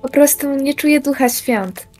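A gentle, earnest mother played by a young woman with a fairly high voice, talking patiently to her children. It is a school Christmas radio play with faint music underneath. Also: moderate pace, close to an everyday voice. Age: young adult. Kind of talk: amateur school audio drama.

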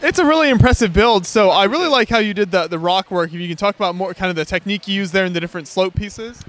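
A man's voice: high voice, fast talker, fairly bright tone. A man interviewing a builder at a convention hall, keeping it short with brief prompts and affirmations. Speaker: interviewer, channel host. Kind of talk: casual interview.

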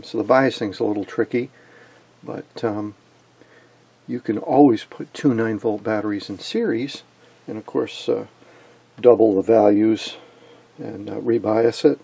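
A man presenting an unscripted technical demonstration in a halting, matter-of-fact way. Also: on the low side, gravelly, mellow, breathy edge. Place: indoors at an electronics workbench.

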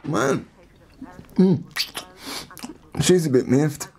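A man's voice: a little high, expressive, very slow, vocal fry, mellow, slightly rough, full character voice. An Irish man playing an easygoing friend in a radio drama, hesitant, casual and placating. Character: easygoing, mumbling male friend of the slacker. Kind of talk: naturalistic radio drama acting.

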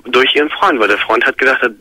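Fast-talking young man on a phone line. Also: mid-range voice, sharp tone, no room sound. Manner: quick, rambling talk with many fillers. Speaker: caller. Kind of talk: casual phone call to a radio show. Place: Baltic coast region. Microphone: telephone line.

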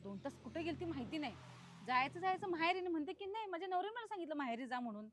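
High-voiced spiteful mother-in-law played by a woman. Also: sing-song, racing, a little hiss or hum. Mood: scheming muttering. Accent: Maharashtrian Marathi, colloquial. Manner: scolding, repeats phrases insistently. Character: spiteful, domineering mother-in-law bullying her daughter-in-law. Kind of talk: amateur family drama acting.

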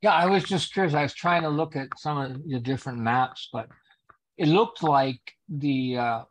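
A man's voice: on the high side, normal speed, poor audio. A man in the audience of an online meeting, asking a question in a casual way.

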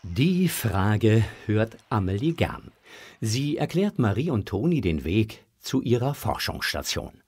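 A man narrating a children's audio drama in a scripted storytelling style, with a husky voice. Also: fairly low pitch, big pitch swings, takes it slowly, a little mellow.

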